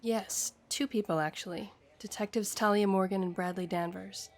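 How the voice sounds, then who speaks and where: A woman's voice: mid-range voice, steady tone, moderate pace, lightly acted. A woman acting a courteous, helpful police desk sergeant in an audio drama. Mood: polite.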